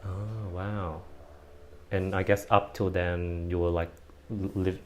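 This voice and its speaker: Deep-voiced curious male host. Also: level tone, slow. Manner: curious, probing, repeats himself to restart. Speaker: podcast host. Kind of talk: interview questions.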